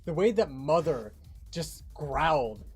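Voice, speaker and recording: A man with a fairly high voice chats casually as a livestream co-host. He talks in a relaxed behind-the-scenes style, with faint music playing underneath. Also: slow, gravelly, breathy edge. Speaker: fandub creator co-hosting a livestream.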